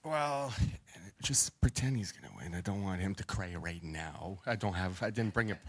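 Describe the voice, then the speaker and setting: A man's voice: deep voice, moderate pace. Joking, trash-talking man arm wrestler. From Canada. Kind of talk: press conference trash talk.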